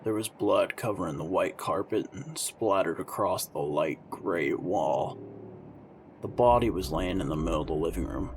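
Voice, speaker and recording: A man's voice: low-pitched, a little hiss or hum. A man playing a serious, weary veteran homicide detective, narrating a crime drama in the first person.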